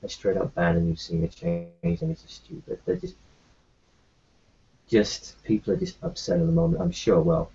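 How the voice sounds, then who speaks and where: Male roleplayer, gravelly and lively in pitch, halting and reassuring; muffled home recording. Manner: halting, reassuring, many restarts. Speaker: tabletop roleplayer. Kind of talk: in-character roleplay chat.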